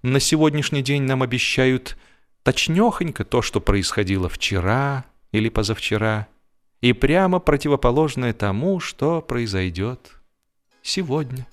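A man reading a humorous literary story as an expressive narrator, with a theatrical, mock-solemn delivery. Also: medium pitch, unhurried. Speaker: audiobook narrator.

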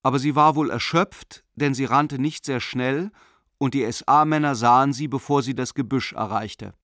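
An actor playing a middle-aged, self-justifying German banker turned Nazi believer, reading a letter in a controlled, formal way in a radio play. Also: male voice, mid-range voice, moderate pace, a bit bright, dry recording, clean studio sound, natural voice. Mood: tense, confessional.

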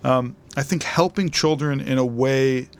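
A man's voice: medium pitch, a little slow. Earnest, rambling man on a podcast. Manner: earnest, rambling with repeated words. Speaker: podcast co-host. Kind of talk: casual podcast discussion.

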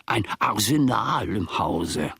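An older actor playing an aggrieved, rambling old manservant in a German radio play, with brooding, old-fashioned diction. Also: male voice, lowish voice, animated, slow, vocal fry, sharp tone, slightly rough, no room sound, clean studio sound, full character voice. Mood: grumbling.

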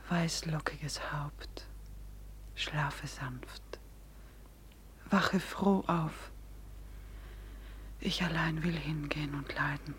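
An actress playing a tender, sorrowful young noblewoman in a classic radio drama, breathy, hushed and solemn, on a noisy recording. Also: low voice. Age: young adult.

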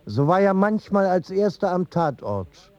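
An actor playing a man soberly recalling past events in measured radio drama narration. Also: high-pitched, very animated, darkish tone, dull audio, slight background noise. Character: male witness soberly recalling a film pioneer.

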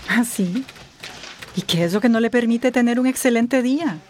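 A woman's voice: a little high, normal speed, darkish tone, a little hiss or hum, close to an everyday voice. An actress playing a courteous, inquisitive professional woman in a radio drama, speaking formally. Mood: polite, interested. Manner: polite, formal, questioning. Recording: faint music behind.

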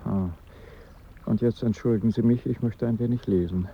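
An actor in a German radio drama playing a wistful lottery seller of impoverished gentry, speaking in a formal, theatrical way. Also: male voice, fairly low pitch, steady tone, normal speed, vocal fry. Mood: wistful, grandiose. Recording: dull sound with faint ambient noise.

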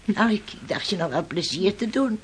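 A woman's voice: mid-range voice, expressive, moderate pace, breathy edge. An actress playing a stern, desperate middle-aged fisherman's mother in a Dutch radio play, by turns bullying and coaxing. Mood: coaxing, tender. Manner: scolding and exclamatory, turning to pleading.

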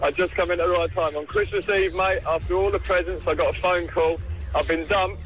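Man, radio caller, casual, matter-of-fact, fast, faint music. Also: sits high, fairly even intonation, fairly bright tone, poor audio. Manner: matter-of-fact, says mate a lot. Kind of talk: phone-in call.

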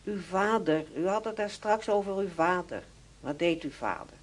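An actress playing a prim, inquisitive woman in a radio play, husky-voiced and insistently repeating her questions. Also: lowish voice, animated, normal speed. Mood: insistent, prying. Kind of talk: radio drama acting.

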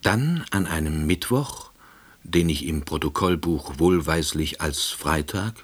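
A pedantic, self-deceiving husband telling his own story in a radio drama. His voice is deep and husky, and his delivery is precise and deadpan. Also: a little slow, full character voice. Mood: wry, harried. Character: hapless, pedantic, self-deceiving husband turned novice salesman. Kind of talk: first-person radio play narration.

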